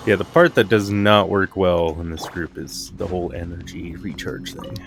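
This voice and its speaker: A man with a deep voice gives casual gameplay commentary, rambling as he thinks aloud. Faint game music plays behind him. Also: fairly even intonation, normal speed. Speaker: game streamer. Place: live stream with game audio behind.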